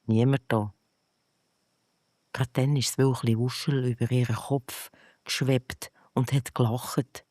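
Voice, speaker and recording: A bedtime storyteller with a Swiss German accent reads at an unhurried pace. The delivery is gentle and deliberate, made for children, and the recording is close and dry. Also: medium pitch, steady tone, no room sound. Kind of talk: scripted narration of a bedtime story.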